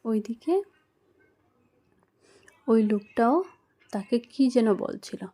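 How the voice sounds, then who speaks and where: A woman's voice: on the high side, takes it slowly, warm tone. A woman telling a scripted horror cartoon story for children as its storyteller. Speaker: storyteller and channel host.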